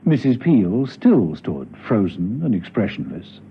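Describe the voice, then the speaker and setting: A man's voice: on the low side, big pitch swings, a little slow, music in the background. A man narrating a radio drama in a suspenseful, theatrical scripted style. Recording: dull, hissy old radio recording.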